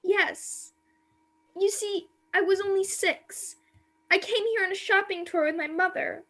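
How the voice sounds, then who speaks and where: A woman plays an innocent young maid held captive in a radio drama. The voice is extremely high and girlish. The delivery is timid, sorrowful and plaintive, captured over a video call. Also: steady tone, unhurried, a bit bright. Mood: timid, fearful. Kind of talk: radio play acting.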